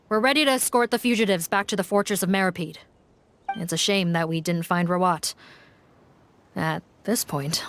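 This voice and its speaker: A woman's voice: on the low side, a bit bright, slight background noise, natural voice. An actress playing a composed female officer who speaks in a formal, direct, businesslike way. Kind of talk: video game voice acting.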